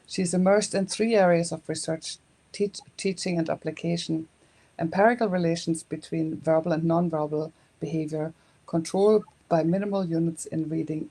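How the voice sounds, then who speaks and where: Woman webinar host, very low and mellow voice, formal speaker introduction. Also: low-quality recording.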